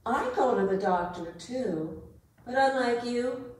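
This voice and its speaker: A woman's voice: a little high, expressive, measured pace. A woman playing a weary, insistent pet owner in a staged reading, firm and exasperated in a plain-spoken way. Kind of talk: theatrical staged dramatic reading. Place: arts centre performance space. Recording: echoey hall, distant microphone.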